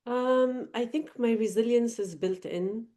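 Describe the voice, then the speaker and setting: A woman's voice: a little high, unhurried, dark tone. A middle-aged woman from Lebanon, a podcast guest giving thoughtful, earnest answers with frequent fillers and restarts. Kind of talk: reflective interview answers.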